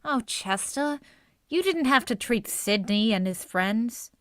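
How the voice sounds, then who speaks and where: An actress playing a sensible young woman who frets and gently pleads with her date, in vintage radio comedy style. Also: fairly high pitch, lively intonation, slow, dry recording, studio quality.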